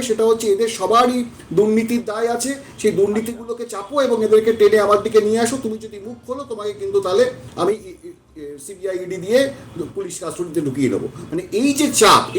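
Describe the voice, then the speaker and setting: A high-pitched male panel commentator with a West Bengal Bengali accent. He explains and rephrases as he goes, his voice sounding roomy and echoing. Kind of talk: political debate commentary.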